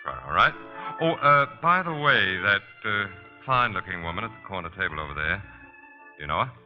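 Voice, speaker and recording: A well-dressed, reserved gentleman of thirty-five in an old radio drama. His lowish voice moves freely in pitch and speaks formally, on a muffled recording with faint music. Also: big pitch swings. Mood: casually inquisitive. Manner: formal, casual, measured. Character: reserved, well-dressed man of about thirty-five, smooth hotel guest. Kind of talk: 1940s radio acting.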